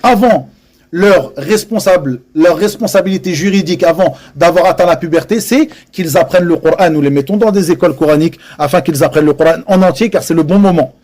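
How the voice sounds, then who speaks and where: A man's voice: on the high side, fairly quick, darkish tone. A man teaching a religious lesson to a live audience in French, earnest and emphatic, repeating key phrases and dropping Arabic religious terms into his speech.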